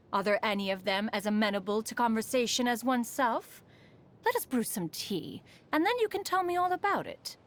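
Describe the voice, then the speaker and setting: A woman's voice: fairly high pitch, full character voice. A woman playing a proud, refined female immortal in a video game, speaking in a formal, stately manner, aloof yet fond beneath it. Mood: courteous, curious. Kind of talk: scripted video game character monologue.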